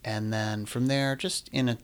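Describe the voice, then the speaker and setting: A man in his twenties talking casually in an interview, relaxed and rambling with many fillers. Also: medium pitch, unhurried, smooth. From Illinois, Midwest US. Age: 20s. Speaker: musician guest.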